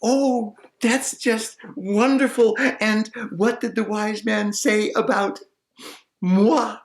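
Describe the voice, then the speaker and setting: A man's voice: steady tone, slow pace, creaky, full character voice. A man putting on a sweet lonely maiden. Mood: eager. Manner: high, fluttery and pleading. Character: lonely young fair maiden, sweet and hopeful. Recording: roomy echo.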